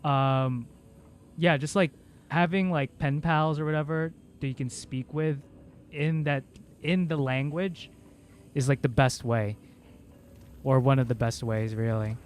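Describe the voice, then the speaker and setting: A man's voice: medium pitch, fairly even intonation, a little slow, long pauses, a little hiss or hum. A male game streamer, a native Cebuano speaker, chatting casually and rambling with lots of fillers. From Philippines. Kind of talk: casual livestream chat.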